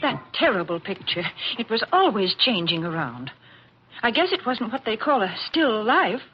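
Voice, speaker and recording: A woman's voice: medium pitch, big pitch swings, moderate pace, slight background noise. A woman co-hosting a 1940s radio show with scripted, folksy, chatty delivery. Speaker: radio show co-host and sponsor spokeswoman. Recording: muffled old radio recording.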